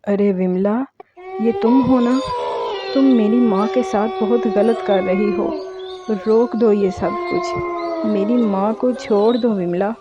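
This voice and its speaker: A woman's voice: a little high, animated, slow, dull audio, heavy background noise. A woman voicing a distraught adult son and husband in a cartoon, pleading and melodramatic.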